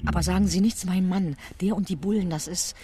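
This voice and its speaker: A woman's voice: fairly low pitch, steady tone, quick pace, dark tone, natural voice. An actress plays a talkative female witness in a radio crime drama. She speaks bluntly and colloquially with a taste for the dramatic, over faint music. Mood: confiding, shaken. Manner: colloquial, slightly crude.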